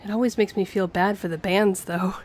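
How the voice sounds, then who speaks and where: A woman's voice: medium pitch, steady tone, fairly quick, a little mellow, close to an everyday voice. A woman playing a friendly, earnest young woman with a shy romantic streak in an intimate audio roleplay, speaking to the listener. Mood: chatty, friendly. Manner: chatty, earnest, flustered hesitations when romantic. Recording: clear background ambience noise.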